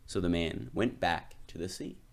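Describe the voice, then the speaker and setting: A man's voice: deep voice, normal speed. A man narrating a children's storybook aloud as a storyteller.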